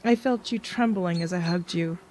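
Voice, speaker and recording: A woman's voice: fairly low pitch, moderate pace, a little mellow, slight background noise, lightly acted. An actress playing a plain-spoken female companion in an audio drama. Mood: tender reminiscence.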